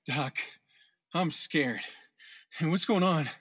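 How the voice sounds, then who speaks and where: A man's voice: a little high, slow pace, a bit bright, breathy edge, dry recording, clean studio sound, exaggerated voice. A man playing a panicked, haunted Secret Service agent in a radio drama, stammering and pleading. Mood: scared.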